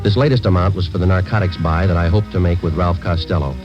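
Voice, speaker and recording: A dull vintage radio recording of an American actor as a deadpan undercover police sergeant. His voice sits very low and he rattles out facts quickly, weary and businesslike, in clipped radio-drama narration. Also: lightly acted. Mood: flat, matter-of-fact. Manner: deadpan, matter-of-fact. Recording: dull old radio recording, music behind.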